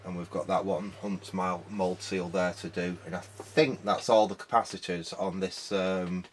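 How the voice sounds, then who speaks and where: Male hobby repairer, low voice, informal step-by-step working commentary, noticeable background noise. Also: steady tone, measured pace. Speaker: hobbyist electronics repairer and presenter. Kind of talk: casual hands-on repair commentary.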